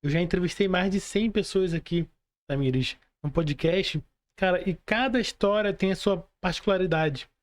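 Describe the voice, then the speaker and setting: A woman's voice: on the low side, normal speed. A Brazilian woman hosting a podcast, chatting casually and motivationally, repeating phrases and putting rhetorical questions to listeners. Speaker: podcast host, mentor.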